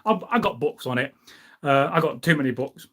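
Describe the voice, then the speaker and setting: A man's voice: a little high, animated, brisk, fairly bright tone. A middle-aged man, chatting informally and digressively as he hosts a history live stream and responds to viewers. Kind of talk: casual rambling chat, reading out and answering viewer comments.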